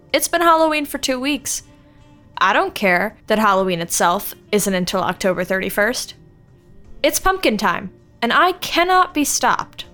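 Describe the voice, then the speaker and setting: Excitable lady riffing casually on a podcast, high animated voice, bit of music behind. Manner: excitable, emphatic. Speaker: podcast segment presenter. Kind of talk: casual comic monologue. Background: faint music.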